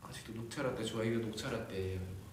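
A man's voice: lowish voice, fast talker. A young man chatting casually on a livestream from a decorated room, musing aloud, answering fan comments and laughing often. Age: young adult. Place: decorated room, indoors, at a table. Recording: very echoey room, faint background hiss.

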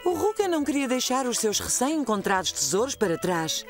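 A woman playing a kindly narrator of a children's cartoon story, expressive, with a European Portuguese accent. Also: a little high, fairly quick, music in the background. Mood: storytelling.

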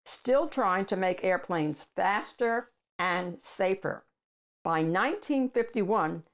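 An older woman reads a picture book aloud as a host for children, with deliberate, emphatic phrasing. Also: medium pitch. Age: older, 60s or above. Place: small room at home with bookshelves.

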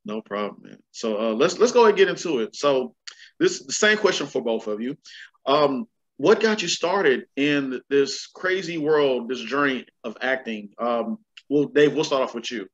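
An easygoing man hosts a casual interview over a video call. His questions come out loose and relaxed, and he often closes a thought with "man". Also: medium pitch, moderate pace, low-quality recording. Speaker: host.

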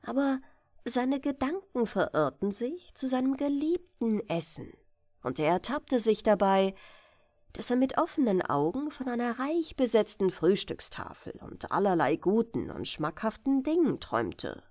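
A woman narrates a bedtime fairy tale for an audiobook. She takes her time over each phrase, with careful, expressive storytelling and a very lively melody. The sound is dry. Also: fairly high pitch, measured pace, no room sound. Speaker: audiobook reader.

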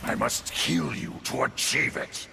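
An actor playing a savage, defiant monster warlord in a video game, with a growling, blunt, menacing delivery. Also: male voice, expressive, measured pace. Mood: hostile. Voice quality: deep gravelly growl. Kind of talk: video game character dialogue. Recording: loud noise behind voice.

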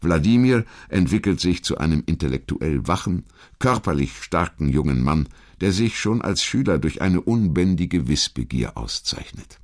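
A man reading a scripted historical narration in a measured, articulate storytelling manner. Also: low-pitched, very animated. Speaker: documentary narrator.

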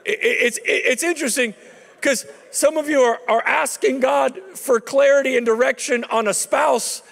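A man in his thirties preaches from a lectern on a large, dark auditorium stage, talking to the crowd in a conversational way that climbs into emphatic peaks. His voice sits high for a man. The handheld mic carries the long reverb of the big hall. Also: steady tone, moderate pace, creaky. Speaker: chapel speaker. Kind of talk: sermon. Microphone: handheld microphone.

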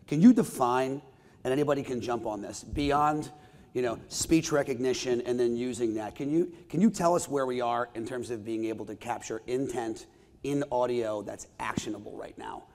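A man's voice: mid-range voice, normal speed, a little mellow. A man moderating a conference panel, affirming answers and asking long, rambling questions. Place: conference stage with audience. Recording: reverberant event hall.

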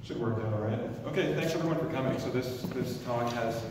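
A man in his thirties, an emergency doctor, giving a loud, energetic startup pitch in a lecture hall with frequent restarts. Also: fairly quick, noisy background. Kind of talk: pitch presentation. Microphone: stand mic, recorded from far camera. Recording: echoey lecture hall from far off.

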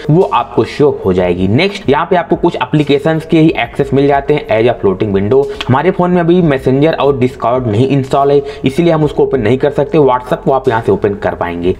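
Indian guy doing a phone tutorial voiceover, goes step by step, mid pitched voice. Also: a little mellow. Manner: methodical, frequently mixing English tech terms. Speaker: tech reviewer presenting phone features. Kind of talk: explanatory tutorial narration.

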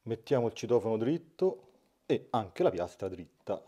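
A man's voice: lowish voice, big pitch swings, moderate pace, mellow. A man, giving a hands-on DIY tutorial as the host, recorded indoors in a small room. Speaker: host of a DIY smart-home channel. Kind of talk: hands-on tutorial narration to camera.

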